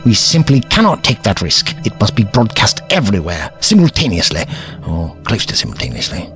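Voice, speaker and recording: An actor playing an eccentric, self-assured older time traveller in an audio drama, with pompous, precise, formal diction. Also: male voice, fairly low pitch.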